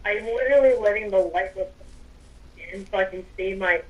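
A woman's voice: medium pitch, normal speed, a little mellow, far from the mic, low-quality recording. A young woman playing a character in a game roleplay, chatting playfully and rambling. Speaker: roleplay player. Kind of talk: casual in-character roleplay chat.

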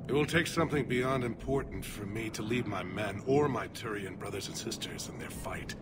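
Man playing a gruff low-voiced alien general. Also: normal speed, heavy background noise, lightly acted. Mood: brisk, guarded. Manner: grave, forceful, clipped military delivery. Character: gruff alien general, proud lifelong soldier turned reluctant leader. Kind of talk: video game cutscene acting. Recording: loud battlefield ambience behind.

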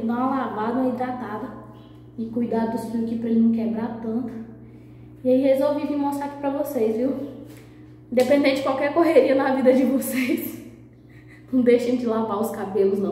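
A woman in her thirties, hoarse and nasal from a sore throat, talking softly to a phone camera for her vlog in a small bathroom. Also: slight background noise. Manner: speaking softly, low voice. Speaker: vlogger. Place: small tiled bathroom indoors. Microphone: phone camera at arm's length. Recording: muffled echoey bathroom.

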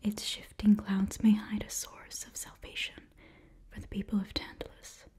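A woman's voice: medium pitch, completely flat delivery, moderate pace, a little mellow, breathy edge. Whispering young woman, ASMR narration. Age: young adult. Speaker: ASMR creator reading a sponsor message. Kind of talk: whispered ASMR scripted ad read. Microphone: close microphone.

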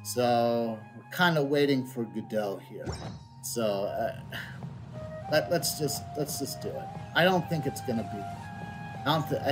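A middle-aged man livestreaming a game, chatting casually in a rambling, halting way and reading out on-screen text. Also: mid-range voice, poor audio. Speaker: game streamer. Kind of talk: casual livestream chat and read-out. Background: game music.

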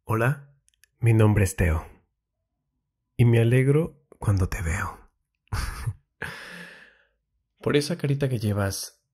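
A man giving a soft, intimate ASMR monologue in a breathy voice that often drops to a whisper, soothing and confiding, close to the mic. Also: on the low side. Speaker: ASMR host giving love advice. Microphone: very close ASMR microphone.

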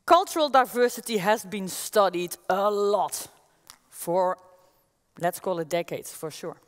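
A woman in her forties with a Dutch accent speaks to a large audience from an auditorium stage, lively and moving about as she presents. Her voice is of ordinary middle pitch, picked up on a headset mic, and a long reverb trails behind it from the big hall. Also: unhurried. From Netherlands. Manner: energetic, gestures as she walks the stage. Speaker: keynote speaker. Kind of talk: conference keynote talk.

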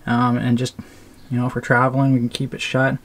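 A man's voice: medium pitch, completely flat delivery, fairly quick. A man giving a casual, hands-on how-to narration as a DIY video host. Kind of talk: casual how-to narration of a trailer plumbing setup. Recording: clear background noise.

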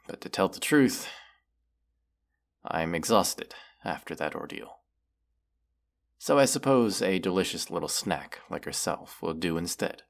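A man voicing a proud, menacing wounded dragon in a fantasy audio roleplay, speaking in a haughty, deliberate, archaic way. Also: deep voice, vocal fry, exaggerated voice. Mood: proud, indignant.

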